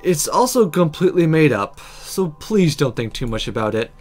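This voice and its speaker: Man playing an earnest, lively young would-be sorcerer. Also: fairly high pitch, normal speed, faint music behind. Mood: sheepish.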